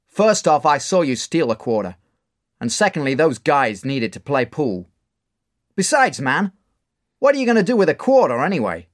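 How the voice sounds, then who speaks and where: A man voicing a rough but kind bar owner who talks in a brash, streetwise way in a storybook reading. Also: fairly high pitch, big pitch swings, moderate pace, pitched above the performer's own voice.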